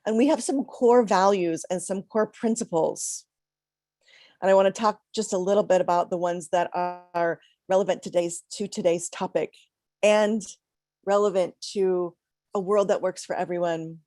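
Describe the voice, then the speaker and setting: A middle-aged woman minister giving a reflective, conversational sermon with pauses, a little congested from a cold. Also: lowish voice, steady tone, dark tone, no echo, studio quality.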